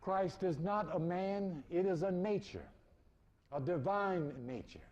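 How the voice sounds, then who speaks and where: High-voiced, slow-paced male preacher. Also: animated, darkish tone, dull audio. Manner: emphatic, deliberate repetition of phrases. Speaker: spiritual preacher. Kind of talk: esoteric sermon.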